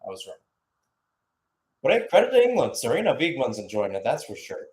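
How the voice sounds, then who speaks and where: A man's voice: mid-range voice, wildly swooping pitch, brisk, darkish tone. A man giving unscripted live play-by-play football commentary in a conversational, analytical way.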